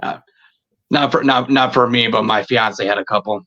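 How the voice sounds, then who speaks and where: Man, thirties, bright forceful voice, halting repetitive speech, casual interview chat, dull muffled recording. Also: mid-range voice, fairly even intonation. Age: 30s. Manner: halting, repeats words and phrases. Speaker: podcast guest, video creator.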